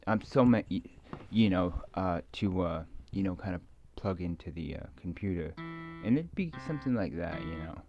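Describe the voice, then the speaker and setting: A middle-aged man in an unscripted, casual ASMR ramble, halting and meandering, with many fillers, on a muffled home recording. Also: low-pitched, animated, soft background music. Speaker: ASMR video maker. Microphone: microphone moved around beside a keyboard.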